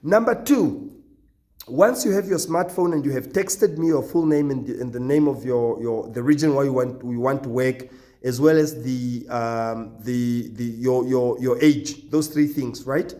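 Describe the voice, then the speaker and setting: A man who founded a company, hoarse from nearly losing his voice, recording a halting, repetitive video announcement to job applicants with stern warnings. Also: medium pitch, dark tone.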